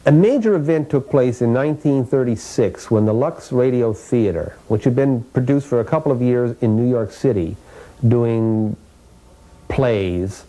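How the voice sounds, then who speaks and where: A man with a mellow, mid-pitched voice recounts history in an interview. His delivery is fluent and explanatory, like an articulate historian. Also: low-quality recording.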